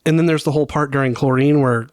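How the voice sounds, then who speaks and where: A man's voice: a little high, fairly even intonation, fast, a little mellow, dry recording. Casual male podcast co-host, close dry sound. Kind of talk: casual fan podcast chat.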